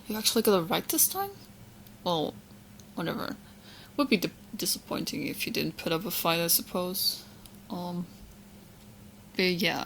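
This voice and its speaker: A woman playing a sweet, obsessed young witch girlfriend in an ASMR roleplay, teasing and smug with coy, halting asides. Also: on the low side, fairly bright tone, slight background noise, low-quality recording. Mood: flustered.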